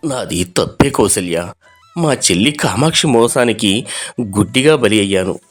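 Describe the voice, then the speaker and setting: A man's voice: fairly low pitch, expressive, normal speed, faint music behind. Man acting a sorrowful, betrayed older village father. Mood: guilty, grieving. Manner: sorrowful, self-blaming.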